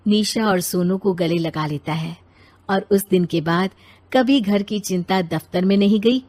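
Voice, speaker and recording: A woman narrating an animated moral story in a scripted storytelling style. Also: medium pitch, a little slow.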